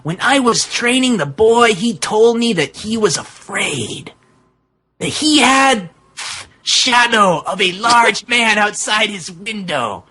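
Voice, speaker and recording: Man doing a raspy, earnest wrestler. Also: sits high, expressive, pushed and bright, heavily acted. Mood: grave, confessing. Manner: melodramatic, halting broken English. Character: earnest dog-faced Mexican wrestler pleading in broken English.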